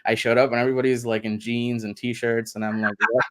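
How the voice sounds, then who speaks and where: A man's voice: medium pitch, flat delivery, a little fast, fairly bright tone. A man from India, a guest in a radio interview, talking in a reflective, meandering way and laughing often. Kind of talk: casual interview conversation.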